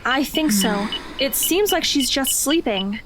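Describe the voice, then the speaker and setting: A woman playing a hesitant, anxious teenage girl in an audio drama, timid and halting. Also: high-pitched, lively intonation, background noise. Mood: uneasy.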